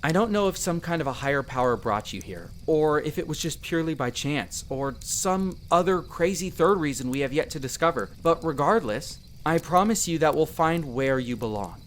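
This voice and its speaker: A man's voice: a little high, lively intonation, normal speed, hardly any pauses, slight background noise, natural voice. A man playing a friendly young elf explorer in a roleplay audio drama, talking conversationally and earnestly to the listener in a self-deprecating way. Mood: earnest, reassuring. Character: friendly young male elf explorer, modest half-outsider mage.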